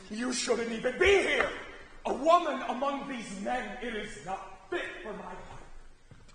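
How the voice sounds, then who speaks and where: A man's voice: very high voice, lively intonation, measured pace, pushed and bright, exaggerated voice. A man playing a domineering, short-tempered warrior king and husband in a stern, declamatory stage style. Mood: furious, scornful. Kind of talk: theatrical stage acting. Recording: echoey theatre hall with faint noise.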